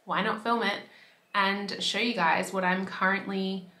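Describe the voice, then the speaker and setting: Young woman beauty vlogger from Australia, chatty and upbeat, a touch raspy from a cold, bright voice in an echoey room. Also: medium pitch, fairly even intonation, unhurried, slight background noise. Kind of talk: casual talk to camera. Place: bedroom by a window.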